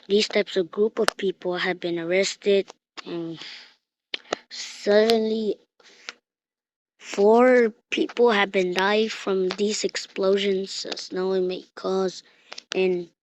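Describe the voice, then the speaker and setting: A woman improvising an emergency alert announcement in a halting way, often repeating and restarting her phrases, on a lo-fi recording. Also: mid-range voice, darkish tone, a little hiss or hum. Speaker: emergency alert announcer in a hobby mock broadcast. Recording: dull lo-fi recording.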